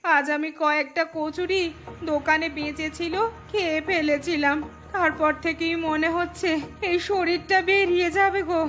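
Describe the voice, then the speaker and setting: A woman voicing an ailing, remorseful village shopkeeper's wife in a melodramatic cartoon, pleading in a drawn-out, plaintive way. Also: very high voice, fairly even intonation, fairly bright tone, faint music behind. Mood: pained, pleading. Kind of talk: melodramatic cartoon story dialogue.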